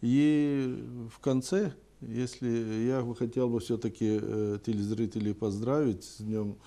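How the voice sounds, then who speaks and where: A man giving unscripted interview answers as a TV guest, speaking in a halting, deliberate way. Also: medium pitch, little pitch movement, mellow. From Gagauzia, Moldova. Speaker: TV programme guest.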